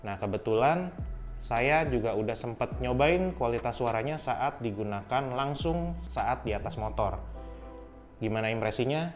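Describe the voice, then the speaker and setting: Young man, casual gear review, relaxed step-by-step talk, faint music behind. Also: medium pitch, normal speed. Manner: relaxed, explains step by step, says "teman-teman". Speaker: product reviewer.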